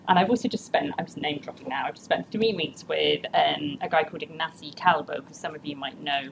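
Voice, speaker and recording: Female host, chatty and self-deprecating, lowish voice, noisy room. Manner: chatty, laughing asides. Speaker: session host. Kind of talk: hosting introductions.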